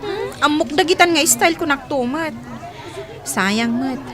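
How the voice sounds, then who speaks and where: A woman's voice: high voice, lively intonation, measured pace. A woman playing a teasing, nosy young confidante in an Ilocano radio drama, with a crowd behind her. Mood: knowing, prying.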